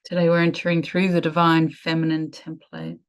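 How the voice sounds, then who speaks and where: A woman leading a guided meditation in a soothing, measured way with lingering pauses. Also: deep voice, little pitch movement.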